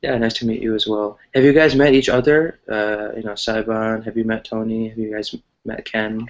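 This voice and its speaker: A man's voice: fairly low pitch, flat delivery, moderate pace. American man teaching, slightly muffled sound. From United States. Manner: encouraging, often checks with "right?". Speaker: English teacher. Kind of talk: online grammar lesson, conversational explaining. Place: online video class.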